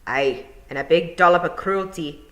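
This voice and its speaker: A woman's voice: fairly low pitch, moderate pace, a bit bright, close to an everyday voice. A woman voicing a cheerful, deferential young male pixie with a Scottish accent in a storybook reading. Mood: cheerful, matter-of-fact. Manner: dialect-heavy, addresses listener respectfully as mistress.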